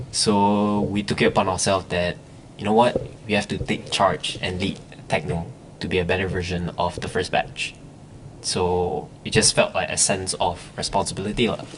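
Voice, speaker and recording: Deep-voiced young man. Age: young adult. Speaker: podcast guest, student club vice president. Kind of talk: casual podcast chat. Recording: clear background noise.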